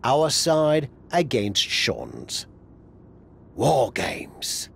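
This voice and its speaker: A man playing a blunt wrestling insider in a video game cutscene, delivering news with dramatic pauses. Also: medium pitch, huge pitch swings, vocal fry, a bit bright, slight background noise, heavily acted. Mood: serious.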